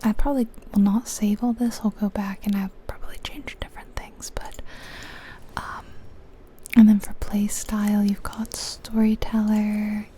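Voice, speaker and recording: A woman whispering gently and informally in a breathy, unhurried ASMR game commentary, close to the microphone. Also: medium pitch, level tone. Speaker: ASMR gaming video host.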